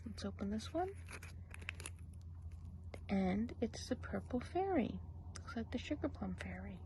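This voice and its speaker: A woman's voice: a little high, slight background noise, rough recording. A woman playing a gossipy woodland witch. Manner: gossipy, slips between soft voice and whisper; slow, careful instructions. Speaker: ASMR role play host. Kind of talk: ASMR role play.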